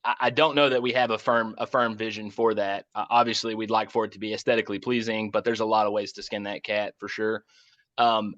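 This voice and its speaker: A lowish-pitched American man speaks informally, like a project lead fielding questions on a conference call. His delivery is halting, often circling back to rephrase. The sound is dry and slightly dull, as through a call. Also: fairly bright tone, no echo.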